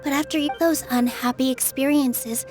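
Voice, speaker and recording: Woman playing a gentle, high-voiced young dancer. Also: fairly even intonation, moderate pace, soft background music, natural voice. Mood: earnest, sympathetic. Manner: gentle, thoughtful, sincere. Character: gentle, earnest young female theater dancer. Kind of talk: video game dialogue acting.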